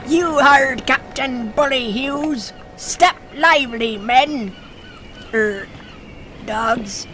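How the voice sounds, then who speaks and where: A woman's voice: high voice, extremely slow, a bit bright, slight background noise, exaggerated voice. A woman playing an eager, scrappy first mate pirate dog in a comic audio drama, brash and folksy. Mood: brisk, dutiful.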